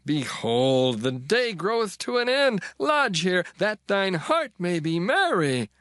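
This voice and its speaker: An actor playing an older, hospitable father-in-law, coaxing in a theatrical, drawn-out way in dramatized scripture. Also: sits high, very animated, a bit bright, clear, resonant tone, no echo, clean studio sound, exaggerated voice. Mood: persuasive. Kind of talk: dramatized scripture reading, archaic English.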